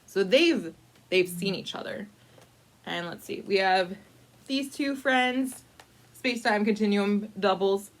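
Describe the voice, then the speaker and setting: A woman leads a casual storytime, describing a picture book as she goes. Her voice sits at an average pitch, and her delivery is conversational and wry, with playful mock-dramatic touches and pauses to think aloud. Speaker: library storyteller reading a picture book to adults.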